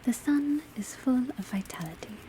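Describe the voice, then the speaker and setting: A woman giving a soft, breathy, mostly whispered ASMR classroom lecture in a measured, deliberate, instructive way. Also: high-pitched. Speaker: ASMR performer playing an astrology class teacher. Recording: steady ambient noise of rain and fireplace. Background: crackling fireplace, rain on rooftop, pencil writing.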